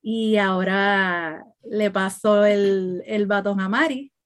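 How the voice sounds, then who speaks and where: Slow-speaking woman podcast host. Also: mid-range voice. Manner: slow, hesitant, many fillers and restarts. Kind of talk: reflective podcast monologue.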